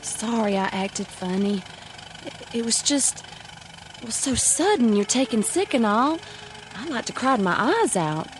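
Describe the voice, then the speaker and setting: A shy, earnest country girlfriend in a radio drama. She has a rural Southern accent and a slow, medium-pitched delivery, with clear background noise. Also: very animated. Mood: tender, apologetic. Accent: rural Southern American. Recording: loud engine-like noise behind.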